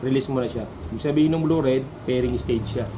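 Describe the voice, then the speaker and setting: A man's voice: medium pitch, fast talker. A Filipino man, a shop owner, chatting casually and politely as he explains a product step by step. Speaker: motorcycle intercom shop owner. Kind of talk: casual chat and product demonstration. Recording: noisy on-location camera audio.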